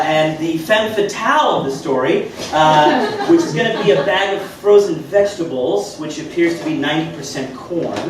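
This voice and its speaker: A young man acts an earnest, self-important twenty-something foodie storyteller in live sketch comedy. His high voice swings in pitch with halting phrasing, far from the mic in an echoing theater. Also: exaggerated voice. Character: earnest pretentious twenty-something male amateur chef, storyteller. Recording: echoey theater, distant mic, room noise.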